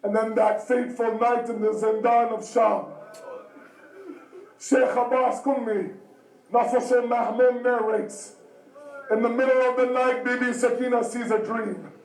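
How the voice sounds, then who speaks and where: Anguished male preacher, very high strained pitch. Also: steady tone, unhurried. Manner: emotional, dramatic, repetitive storytelling with acted-out dialogue. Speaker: preacher, religious lamentation reciter. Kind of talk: impassioned mourning sermon narrating a tragedy. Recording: echoey hall, distant microphone.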